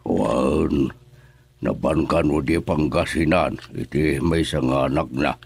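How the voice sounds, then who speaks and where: A man's voice: rumbling low, slow pace, creaky, breathy edge, heavily acted. An actor playing an older Ilocano father in a radio drama, talking in a plain, grumbling way.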